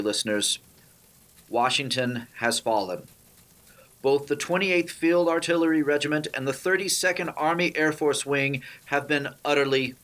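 A man's voice: fairly high pitch, a bit bright, close to an everyday voice. A man playing an earnest, grave news anchor in an old-time radio drama. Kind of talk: old radio newscast acting.

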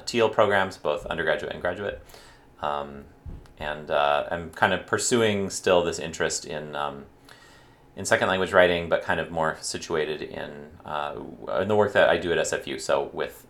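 Deep-voiced hesitant male lecturer. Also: a bit bright. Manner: hesitant, many fillers and restarts. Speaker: university lecturer. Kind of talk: casual academic podcast chat.

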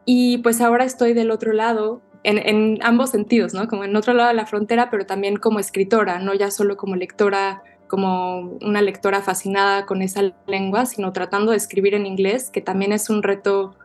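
A young adult woman poet being interviewed, speaking in a calm, reflective voice. She chooses her words slowly and restarts phrases as she thinks them through. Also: mid-range voice, soft background music. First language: Spanish. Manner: thoughtful, measured, frequent small restarts.

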